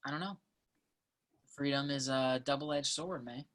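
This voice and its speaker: A woman reading the part of an earnest, thoughtful college student in a play, speaking in a measured, naturalistic way. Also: very deep voice. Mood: earnest, persuasive.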